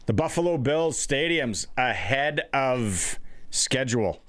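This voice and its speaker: A man's voice: mid-range voice, expressive, slow pace, a bit bright. A man hosting a sports radio show with casual, jokey banter and an informal manner. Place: radio studio, streamed.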